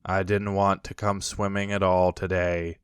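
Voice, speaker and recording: A man voicing a gloomy, sarcastic old donkey who drawls slowly and deadpan. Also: deep voice, clean tone, no echo, studio quality, pitched below the performer's own voice. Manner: slow, flat, deadpan drawl.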